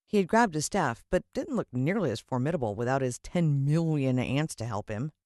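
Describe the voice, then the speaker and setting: An American woman narrating a children's adventure story in an expressive, suspenseful storytelling style. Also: extremely low pitch, moderate pace, no room sound, clean studio sound. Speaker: audiobook narrator.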